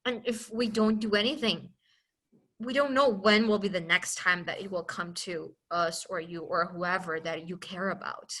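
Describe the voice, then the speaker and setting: A young woman from China talks as a podcast guest, earnest and open. Her voice is bright and forceful, and she often breaks off and restarts a thought. It is casual interview talk, not a rehearsed speech. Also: medium pitch, fairly even intonation, moderate pace.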